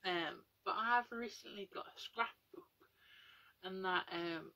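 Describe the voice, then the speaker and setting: A young woman with a nasal, breathy voice, chatting casually and rambling at home while getting ready for bed. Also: takes it slowly, sharp tone, highs cut off. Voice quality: nasal, breathy, high-pitched voice. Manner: chatty, rambling, with many fillers. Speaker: vlogger. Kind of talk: casual chat to camera.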